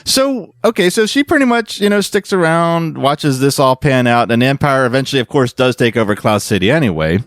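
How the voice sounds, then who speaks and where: A man's voice: mid-range voice, expressive, fairly quick, hardly any pauses, dry recording, clean studio sound. A man hosting a fan podcast, telling a story casually in a rambling way that often corrects itself. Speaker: podcast host.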